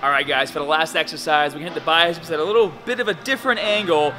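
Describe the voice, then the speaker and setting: A young man giving workout instructions as a fitness coach, energetic and coaching in tone. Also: fairly high pitch, fast talker, faint music behind. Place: large gym, indoors.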